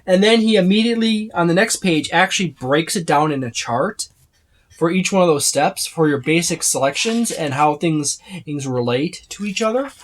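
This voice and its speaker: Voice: medium pitch, animated, moderate pace. A reviewer talking casually and unscripted through a tabletop game book, rambling along and often listing items one after another. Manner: rambling, repeats and lists items.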